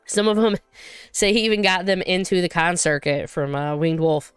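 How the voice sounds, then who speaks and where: A woman's voice: on the low side, normal speed, smooth, no echo, faint music behind. A woman hosting a casual livestream, rambling and chatty as she reads viewer comments, her voice still recovering from being sick. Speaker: livestream host.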